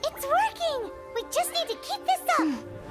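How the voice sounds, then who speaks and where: A tiny floating companion girl chirps in a piercingly high, squeaky voice. She is excitable and exclamatory, like a video game sidekick. Soft game music sits faintly behind her. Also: a little slow, heavily acted. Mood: excited.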